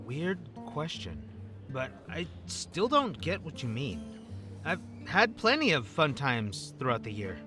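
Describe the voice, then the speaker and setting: A man's voice: mid-range voice, wildly swooping pitch, takes it slowly, over music. An actor playing a wry, jokey man with a troubled past, talking in a casual, slangy, hesitant way in an audio drama. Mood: wary, joking. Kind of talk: acted audio drama dialogue.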